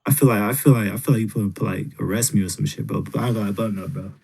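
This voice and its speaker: A man's voice: on the low side, rapid-fire, gravelly, a little mellow, husky. A man on a podcast bantering casually in slang, teasing and repeating himself.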